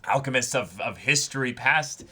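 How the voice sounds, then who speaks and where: A man hosting a casual discussion show, talking enthusiastically in slangy, animated phrasing. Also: mid-range voice, normal speed, breathy edge. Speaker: host of a reaction and discussion show.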